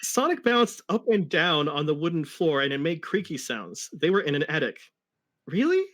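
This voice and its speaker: A man giving a mock-dramatic reading of fan fiction, narrating deadpan with casual asides. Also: a little high, moderate pace. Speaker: co-host reading a story aloud.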